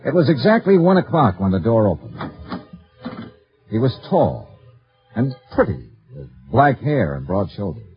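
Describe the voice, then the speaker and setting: A dull vintage radio recording with music carries a hard-boiled American male investigator. He is clipped and matter-of-fact, with a mid-pitched voice that moves in lively swings. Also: normal speed, pauses often. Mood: dry, matter-of-fact. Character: shrewd, dogged male insurance investigator narrating his case. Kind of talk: 1950s American radio detective drama acting.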